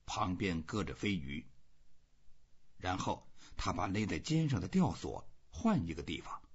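Male narrator for literary audiobook. Very husky voice, slow delivery, slightly muffled recording. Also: low-pitched, big pitch swings. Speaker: audiobook reader.

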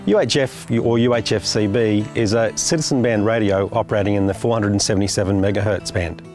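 A man's voice: on the low side, brisk, darkish tone, faint music behind. An Australian man in his forties presenting an explainer to camera, stressing key terms. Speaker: company presenter.

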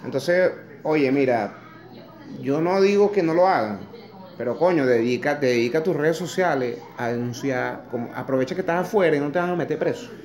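A man's voice: fairly high pitch, lively intonation, moderate pace, a little mellow. Indignant Venezuelan man ranting on phone. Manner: indignant, blunt, swears, sarcastic mocking asides. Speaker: vlogger. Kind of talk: angry opinion rant to camera. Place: kitchen at home indoors. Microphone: phone camera held close. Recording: echoey room on phone.

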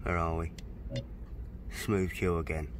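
A man's voice: deep voice, flat delivery, music in the background. Chatty man demoing gear. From United Kingdom. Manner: chatty, unhurried, reads out menu items. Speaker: boat owner reviewing his stereo. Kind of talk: casual hands-on product demo. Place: outdoors at a boat in a dry stack.

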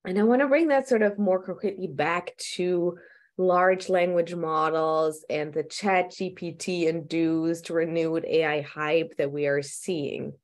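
A woman in her thirties moderating a panel discussion over a webcam, somewhat hoarse, speaking animatedly with rambling questions. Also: fairly low pitch, fairly even intonation, unhurried. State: losing her voice. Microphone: video call webcam.

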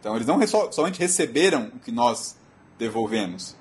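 Male technical speaker, Brazilian Portuguese accent, mid-pitched husky voice, explanatory and halting presentation delivery. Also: very animated. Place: auditorium.

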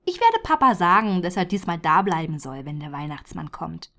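A man voicing an excited young child who tells the story. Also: a little high, normal speed, creaky, pitched above the performer's own voice, full character voice. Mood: earnest.

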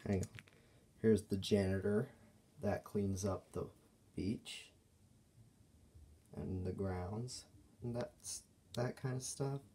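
A man giving a casual, unscripted show-and-tell as a toy reviewer, speaking in halting, broken phrases. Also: fairly low pitch, fairly even intonation, mellow, slightly rough. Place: indoors at a table by a window.

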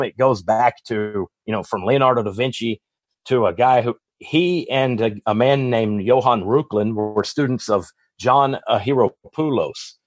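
A man's voice: on the low side, no room sound. Man, Texas accent, interview guest, rambling, self-correcting, dry close sound. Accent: Texan. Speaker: author and guest. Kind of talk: podcast interview, long explanatory answers.

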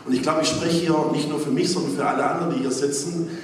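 A man's voice: on the high side, steady tone, fast. A man speaking spontaneously from the audience as a grateful fan addressing a panel. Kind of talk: spontaneous thank-you address. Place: large hall, recorded from the audience. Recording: echoey hall, distant, faint noise.

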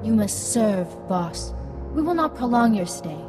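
A stern alien judge, handing down rulings in clipped, formal phrases. Her voice is mid-height with game music clearly underneath. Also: medium pitch, sing-song, measured pace.

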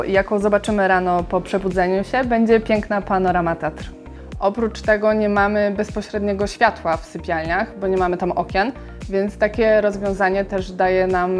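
Female architect guest, calm and explanatory, mid-pitch, some fillers, faint music under. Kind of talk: explaining her design in an interview.

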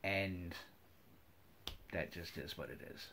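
Slow-talking, folksy middle-aged American man. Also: sits low, pushed and bright, a little hiss or hum. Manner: rambling, folksy, often repeats phrases. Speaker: hobby vlogger. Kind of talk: casual chat to viewers. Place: wood-paneled room at home, indoors.

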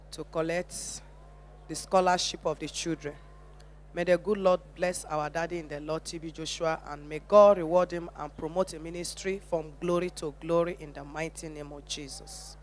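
A woman's voice: on the low side, a little hiss or hum. Nigerian woman, middle aged, giving a devout earnest church testimony, emphatic phrasing. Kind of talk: religious testimony.

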